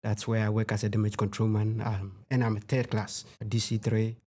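A man's voice: fairly low pitch, little pitch movement, brisk, warm tone. A young man from Ghana, answering interview questions about his work in an earnest, plain-spoken way. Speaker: sailor interviewee.

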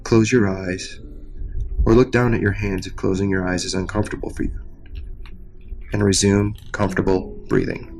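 A man leading a guided meditation from a script as a coach, in a soothing, measured manner with long pauses. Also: lowish voice, level tone, a little mellow, faint music behind. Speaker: meditation coach, nurse practitioner.

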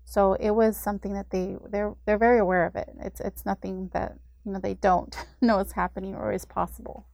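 Guest: woman at a mid pitch, informative and steady, dry studio sound. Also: brisk, vocal fry, hardly any pauses, no room sound. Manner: explanatory, steady, with occasional fillers. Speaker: community services director, guest. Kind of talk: informational interview.

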